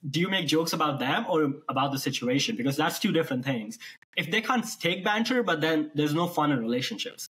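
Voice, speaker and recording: Husky-voiced man hosting a casual podcast. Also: on the high side, expressive, a little fast, fairly bright tone. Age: late 20s. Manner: asks probing follow-up questions. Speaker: podcast host. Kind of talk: casual chat.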